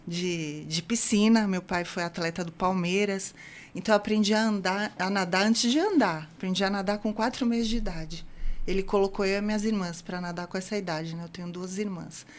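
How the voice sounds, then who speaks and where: A woman in her fifties from São Paulo, a guest on an interview podcast, telling her story in a lively way and acting out the dialogue she quotes. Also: medium pitch. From São Paulo, Brazil. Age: 50s. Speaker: guest, open-water ultramarathon swimmer.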